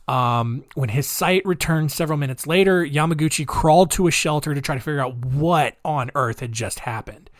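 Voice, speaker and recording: A man's voice: mid-range voice, dry recording. Chatty male podcast host, dry sound. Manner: chatty, addresses listeners as guys, many ums and asides; animated, swings between loud emphasis and quiet asides. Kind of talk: casual storytelling podcast.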